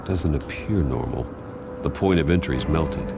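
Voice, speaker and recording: A man's voice: rumbling low, a little slow, creaky, heavy background noise, exaggerated voice. A man playing a grim masked vigilante detective in a video game, speaking in a terse, brooding, clinical way. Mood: grim, analytical. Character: grim masked male vigilante detective examining a crime scene. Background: music.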